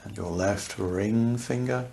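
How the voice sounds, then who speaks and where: A man with a low voice, heavy with gravelly creak, guides a deep relaxation meditation. He speaks softly and without hurry, leaving long gaps between short, soothing phrases. A faint fireplace ambience sits behind him. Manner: soft, unhurried, soothing, with long pauses. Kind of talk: guided yoga nidra meditation. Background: crackling fireplace ambience.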